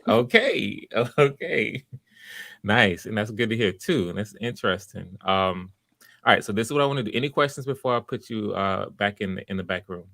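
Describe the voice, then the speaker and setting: A man's voice: on the low side, expressive, normal speed, vocal fry, fairly bright tone, dry recording. A man hosting auditions and giving encouraging, conversational feedback, often restarting his phrases. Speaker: audition host and director.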